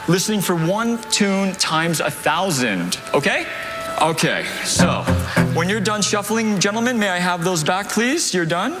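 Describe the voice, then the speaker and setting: Guy doing magic patter on stage, high voice, bossing the volunteers around nicely, music playing behind. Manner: upbeat, instructing volunteers step by step. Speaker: stage magician performing a trick. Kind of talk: live stage patter directing an audience. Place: theater stage before a live audience.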